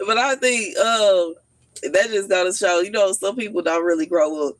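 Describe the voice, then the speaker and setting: A woman in her thirties on a casual livestream, telling stories in an animated, slangy way and laughing often. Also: mid-range voice, a little slow, no echo, low-quality recording. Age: 30s. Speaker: livestream host.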